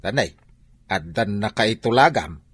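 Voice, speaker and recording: A man's voice: fairly low pitch, lively intonation, unhurried, a bit bright, husky, full character voice. An actor with an Ilocano accent playing a traditional, insistent middle-aged father and farm caretaker in a radio drama.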